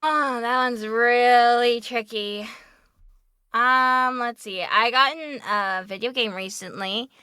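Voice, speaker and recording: A woman's voice: on the high side, fairly even intonation, takes it slowly, bright, forceful tone, clean tone, dry recording. A woman who works as a voice actor, talking informally and upbeat as she explains things on a panel. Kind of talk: casual panel Q&A chat.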